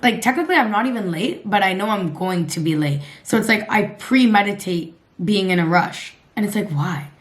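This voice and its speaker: Raspy woman, twenties, blunt casual vlog chat, swears. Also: mid-range voice, lively intonation, moderate pace, hardly any pauses, a little hiss or hum. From Canada. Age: 20s. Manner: blunt, chatty, swears often, laughs at herself. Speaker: lifestyle vlogger.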